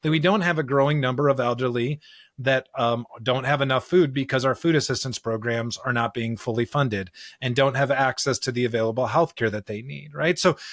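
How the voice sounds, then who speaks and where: A man's voice: medium pitch, fairly bright tone, no echo, studio quality. An American man co-hosting a religious discussion podcast, speaking earnestly and emphatically and repeating phrases to build his points. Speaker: podcast co-host, preacher.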